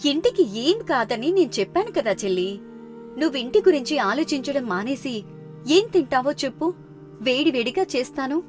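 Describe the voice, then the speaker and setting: A woman's voice: sits high, very animated, moderate pace, soft background music, voice pushed up. A woman voicing a caring, firm elder sister of thirty, soothing and confident, in a moral story. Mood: firm, coaxing. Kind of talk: moral story character dialogue.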